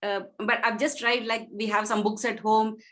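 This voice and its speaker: A woman in her sixties from India, a student introducing herself on a video call in a measured, polite way. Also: a little high, little pitch movement, fairly bright tone.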